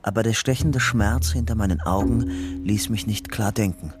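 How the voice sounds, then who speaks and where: An actor as a low-voiced, anxious young fugitive. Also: male voice, moderate pace, loud music behind the voice, natural voice. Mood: brooding, tense. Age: young adult. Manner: brooding narration alternating with urgent questioning. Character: young man on the run, anxious, guarded. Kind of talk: German radio crime drama, first-person narration and dialogue.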